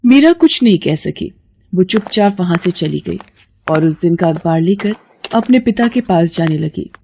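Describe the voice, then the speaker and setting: Mellow woman narrating a story. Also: on the low side, animated, moderate pace, creaky, slight background noise.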